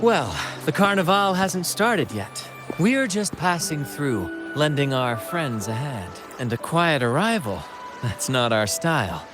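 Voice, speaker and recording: A flamboyant, cheerful showman from a video game. The voice is a little high and swings expressively, with grand theatrical flourishes. Music runs behind the words. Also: sing-song, unhurried. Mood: grand, showy. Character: flamboyant, cheerful showman leading a sailing troupe. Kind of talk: video game character acting.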